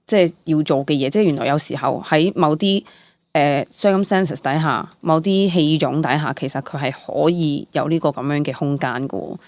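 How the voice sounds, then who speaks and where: Young Hong Kong woman, animated and dry-miked. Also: low voice, moderate pace, no room sound. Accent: Hong Kong Cantonese. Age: young adult. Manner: animated, code-mixes English words into speech. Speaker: guest, stage actress. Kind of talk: casual interview chat.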